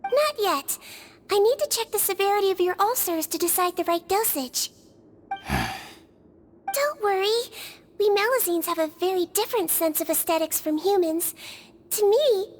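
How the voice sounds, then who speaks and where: A woman voicing a gentle, caring little nurse creature in a game, speaking softly and patiently. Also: extremely high pitch. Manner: soft, kindly, patient, reassuring. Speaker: head nurse. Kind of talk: game voice acting.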